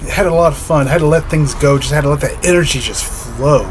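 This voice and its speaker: A man talking slowly and dreamily to the camera, giggling softly and trailing off, his voice slightly hoarse. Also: on the high side, slight background noise. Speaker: spiritual vlogger. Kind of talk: reflective solo monologue.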